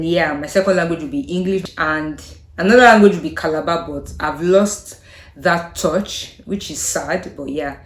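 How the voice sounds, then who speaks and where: Nigerian woman with a lowish voice in an echoey room. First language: Yoruba. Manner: thoughtful, hesitant, trailing off softly with frequent laughs. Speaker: vlogger. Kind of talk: casual chat to camera.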